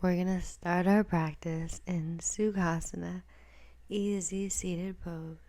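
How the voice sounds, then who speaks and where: Woman, yoga class instruction, relaxed warm timbre, slightly low voice, gentle breathy delivery. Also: slow.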